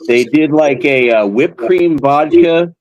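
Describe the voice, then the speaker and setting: A man's voice: a little high, slow, a little mellow, no echo, highs cut off. Male host, casual, rambling storyteller, dry room. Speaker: channel host, beer can collector. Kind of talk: casual chat.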